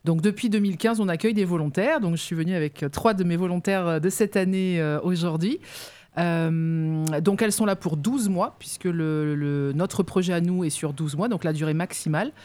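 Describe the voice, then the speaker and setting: A woman's voice: fairly low pitch, normal speed, warm tone. A woman, a guest on local radio, explaining her work at length with many hesitations. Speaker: youth mobility officer, guest. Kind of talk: explaining in an interview.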